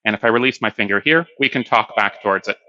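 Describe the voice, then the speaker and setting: A man's voice: on the low side, quick pace, sharp tone, dry recording, highs cut off. A man giving a hands-on product demonstration, explaining plainly step by step.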